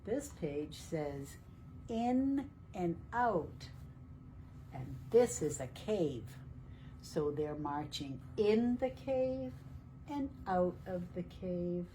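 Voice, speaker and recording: A woman reading a picture book aloud to young children in a deliberate, teacherly way, asking questions as she goes. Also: lowish voice, very animated, warm tone, a little hiss or hum, low-quality recording. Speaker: children's storytime reader at a library.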